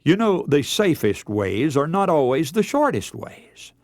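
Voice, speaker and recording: Radio preacher with sweeping, expressive pitch. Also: male voice, no room sound, clean studio sound. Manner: earnest, measured oratory with rhetorical questions. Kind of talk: radio sermon, scripture reading and exhortation.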